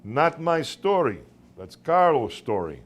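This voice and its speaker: A man reading the part of a wise old Cuban fisherman in a storybook tale, in emphatic short phrases with weighty pauses. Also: on the high side, big pitch swings. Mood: firm. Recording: live room recording with background noise.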